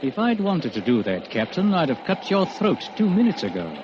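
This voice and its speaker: An actor playing a calm, cunning, gentlemanly prisoner in an old radio drama, controlled and persuasive. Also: male voice, on the high side, normal speed. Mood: earnest, pressing. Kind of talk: 1940s radio drama acting. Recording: muffled, noisy old radio broadcast.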